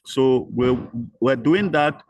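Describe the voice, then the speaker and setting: An older man answering interview questions, slow and halting with many fillers and restarts. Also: mid-range voice, darkish tone, no echo. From Nigeria. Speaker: interview guest.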